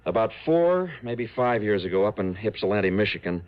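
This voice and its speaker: Earnest businesslike insurance executive, lowish pitch, brisk, old radio drama, muffled audio. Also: male voice, very animated. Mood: serious, businesslike. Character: serious, businesslike insurance office manager. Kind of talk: 1950s radio drama acting. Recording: muffled vintage radio recording.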